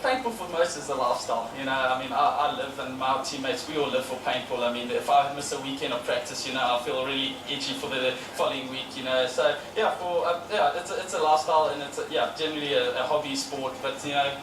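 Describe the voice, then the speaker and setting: Flat-toned man, heard from afar. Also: mid-range voice, quick pace, few pauses. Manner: even, hesitant with fillers. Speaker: paintball player. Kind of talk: casual interview answers. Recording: echoey, far from the mic, with noise.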